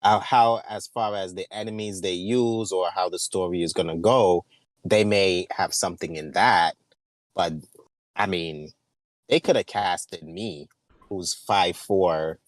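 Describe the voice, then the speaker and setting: A man co-hosting a podcast, making his point casually but deliberately, repeating phrases for emphasis. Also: deep voice, no room sound. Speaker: podcast co-host. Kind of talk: casual panel discussion.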